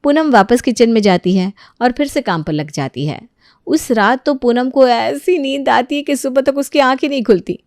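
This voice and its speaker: A woman's voice: on the high side, normal speed, a little mellow, no echo, studio quality. A woman telling a scripted household moral story as its narrator.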